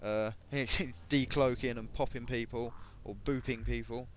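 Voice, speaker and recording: A man giving casual, hesitant commentary on game equipment, his voice a bit croaky, with many ums and self-corrections. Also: mid-range voice, fairly even intonation, a little slow, fairly bright tone, slight background noise. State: voice going croaky. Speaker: gaming video creator.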